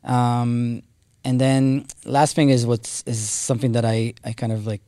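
A man's voice: mid-range voice, little pitch movement, a little slow, warm tone, dry recording, clean studio sound. A man, telling his story as a guest with many fillers and restarts. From Israel. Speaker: guest, company co-founder. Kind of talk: casual interview talk.

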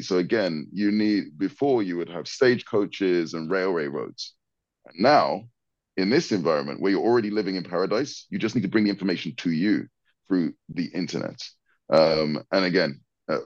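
Very deep-voiced man on a dull line. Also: darkish tone, no room sound. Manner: hesitant, many ums and repeated words. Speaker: guest. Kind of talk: conversational explanation.

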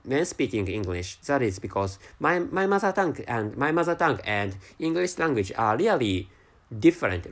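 A man with a Japanese accent, a native Japanese speaker, talking alone on his podcast in slow, halting English full of restarts and repeated words. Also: mid-range voice. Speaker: podcast host. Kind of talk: casual solo podcast monologue.

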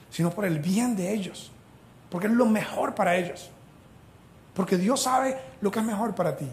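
A man from Venezuela preaching a sermon as pastor, animated and joking as he retells the story. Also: high-pitched, roomy sound.

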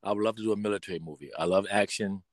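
Low-voiced middle-aged American man, dry close sound. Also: rapid-fire, no room sound. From Pontiac, Michigan, United States. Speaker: podcast guest, performing artist. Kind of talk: casual conversational interview talk.

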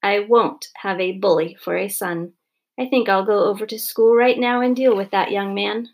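A woman voicing a firm, reasonable husband and stern father in a storybook, measured and lecturing. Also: medium pitch, fairly even intonation, close to an everyday voice. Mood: decisive.

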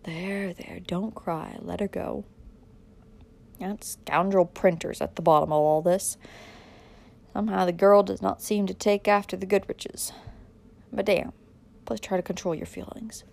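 A woman's voice: mid-range voice, steady tone, moderate pace, vocal fry, darkish tone, a little hiss or hum, natural voice. A woman voicing a proud, stern older man, a domineering father with a stiff, commanding manner, in a storybook reading. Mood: stiff consoling.

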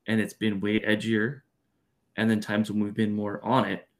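A man with a low, gravelly voice co-hosts a casual podcast. He muses aloud and circles around his point, filling pauses with "like" and "um". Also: completely flat delivery. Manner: thoughtful, rambling with many fillers. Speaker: podcast co-host.

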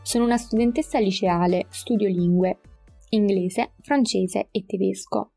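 An eighteen-year-old woman from Italy, a high school student, introducing herself in a measured, orderly way. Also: fairly even intonation, vocal fry, dark tone, breathy edge, faint music behind. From Italy, Campania. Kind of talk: self-introduction.

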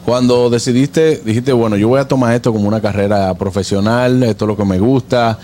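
A man's voice: medium pitch, steady tone, a little fast, warm tone, slight background noise. A man hosting an interview, putting conversational questions to a guest. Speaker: interviewer, show host.

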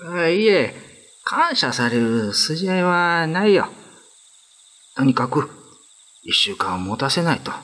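A man's voice: on the high side, very animated, slow, pauses often, a bit bright, voice pushed up, exaggerated voice. A man playing a kind, modest young male prison guard in a radio drama, with casual, boyish, reassuring speech. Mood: modest, gentle. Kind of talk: Japanese radio drama acting.

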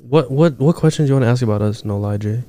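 A man's voice: mid-range voice, fairly quick, mellow, dry recording. A young man co-hosting a podcast in casual chat, joking loosely, laughing a lot and swearing freely. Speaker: podcast co-host.

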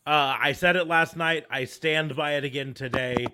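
A man's voice: fairly high pitch, steady tone, moderate pace, a loud crowd. Male podcast co-host, wry, casual summing-up delivery.

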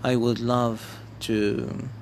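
Very slow, hesitant man. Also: mid-range voice, level tone, slight background noise. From Morocco. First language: Amazigh. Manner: hesitant, searching for words, many restarts. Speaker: vlogger. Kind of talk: casual spoken monologue to viewers.

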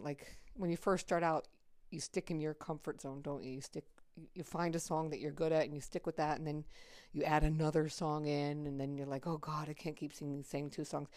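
A middle-aged woman talks casually on her podcast in a low, raspy voice. She is blunt and swears freely. The recording is dry and close. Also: a little mellow, no echo. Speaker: podcast host. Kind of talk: casual chat.

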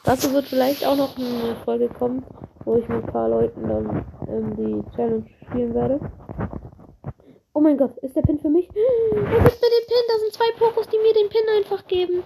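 A woman with a slight cold, giving excitable, chatty commentary on her own gameplay, swearing and apologizing as she plays. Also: high-pitched, normal speed, gravelly, background noise. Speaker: gaming video creator.

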